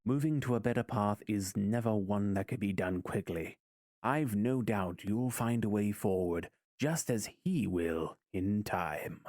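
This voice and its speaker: An actor playing a dutiful, courteous butler and confidant in an audio drama, speaking formally and with measured words. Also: male voice, on the low side, darkish tone, no room sound, clean studio sound, natural voice. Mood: courteous, reassuring.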